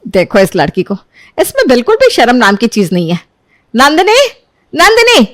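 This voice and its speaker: A woman's voice: a little high, wildly swooping pitch, normal speed, a bit bright, no room sound, clean studio sound. A woman playing a strict mother-in-law. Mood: stern. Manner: firm, commanding. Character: strict middle-aged mother-in-law, stern head of house.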